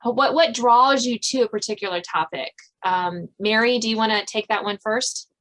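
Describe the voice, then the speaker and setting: A woman's voice: on the high side, normal speed, a bit bright, poor audio. A woman hosting a podcast in a casual interview style. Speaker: podcast host.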